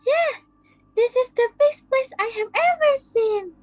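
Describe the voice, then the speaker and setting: A woman's voice: extremely high pitch, lively intonation, a little slow, darkish tone, no echo, voice pushed up. A woman voicing a small, innocent and curious boy in a readers theater drama, speaking simply and childlike. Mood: eager. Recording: muffled audio with background music.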